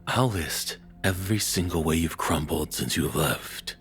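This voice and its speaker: A man playing a controlling, cruel ex-boyfriend in an intimate audio roleplay, with a husky, rough-edged voice and a taunting, domineering, deliberate delivery. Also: extremely low pitch, a bit bright, soft background music. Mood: scornful.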